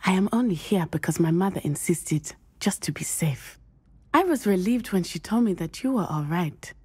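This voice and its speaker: Loyal, brave schoolgirl witch for a game: lively intonation, slightly breathy, formal and precise diction. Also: on the low side, normal speed. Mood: gentle, reassuring. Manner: formal, precise phrasing, few contractions. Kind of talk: scripted video game cutscene acting.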